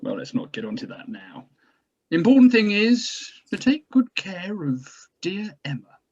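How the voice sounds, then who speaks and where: A man playing a courteous, hesitant government doctor with gentle authority in a drama table read, on slightly dull sound. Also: high-pitched, sing-song, slightly rough, full character voice. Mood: gently authoritative. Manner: halting, courteous, searching for words.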